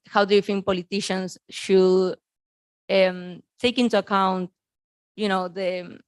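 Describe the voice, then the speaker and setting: A young woman from Argentina in her twenties, asking a panel speaker questions from the audience. Her voice is smooth and even, with little rise or fall, and she hesitates with many fillers as she works through her points. The sound is dry. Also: mid-range voice, dry recording.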